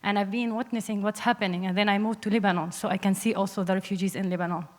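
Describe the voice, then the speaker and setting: A young woman with a Syrian Arabic accent speaks earnestly in halting English from the floor of a panel. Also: mid-range voice, steady tone. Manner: halting non-native English. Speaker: audience member, film student. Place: large panel hall, public address microphone. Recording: echoey hall with long reverb.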